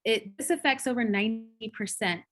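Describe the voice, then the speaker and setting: A woman's voice: a little high, a little fast, a bit bright. A young woman hosting an interview over a video call, chatty and affirming, with many fillers. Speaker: host, cardiac sonographer. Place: home room, indoors.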